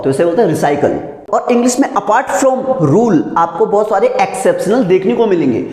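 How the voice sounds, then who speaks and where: A man's voice: high voice, darkish tone. A man with an Indian accent teaching a pronunciation lesson, didactically asking questions and answering them himself. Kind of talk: classroom lesson in spoken English. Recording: echoey room with long reverb.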